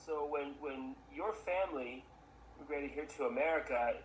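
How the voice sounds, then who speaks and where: A man's voice: fairly high pitch, moderate pace. A man hosting a podcast and interviewing a former student, encouraging him and asking long, winding questions. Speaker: podcast host, former teacher of the guest. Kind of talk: casual interview. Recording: echoey room with faint background noise.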